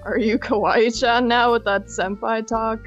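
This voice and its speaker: A woman's voice: fairly high pitch, fairly even intonation, measured pace, muffled recording, soft background music, close to an everyday voice. A woman voicing a snarky, easily disgusted young woman, sarcastic and grudging, in a comedic fan fiction roleplay.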